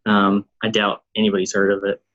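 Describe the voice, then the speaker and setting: A man's voice: lowish voice, monotone, fairly quick, a bit bright, lo-fi sound. A man from Kentucky, a political candidate, giving plain, short interview answers with ums.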